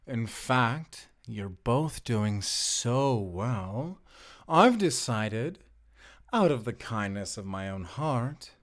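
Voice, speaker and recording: A smug prison warden acted by a man in an audio drama. He speaks very slowly, drawing out each mock-kind phrase. The menace sits under a show of generosity. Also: mid-range voice, sing-song. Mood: mock-friendly menace. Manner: slow, mock-kind, drawn-out taunting.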